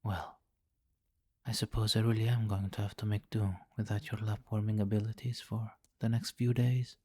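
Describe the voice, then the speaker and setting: A man playing a possessive, teasing vampire king in an intimate ASMR roleplay, commanding and breathy, often slipping into whispers on a close mic. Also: lowish voice, flat delivery, normal speed, a little mellow, no echo. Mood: satisfied, teasing. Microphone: close microphone, dry.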